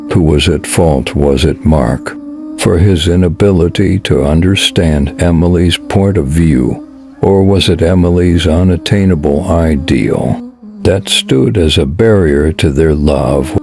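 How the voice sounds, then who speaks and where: A man narrating a short audio story in a measured, scripted reading style. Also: low voice, gravelly, mellow.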